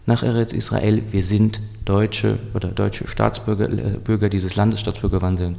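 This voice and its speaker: A man answering interview questions in a measured, explanatory way, often restarting his sentences. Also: lowish voice, flat delivery, vocal fry, warm tone, in a large hall. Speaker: historian guest.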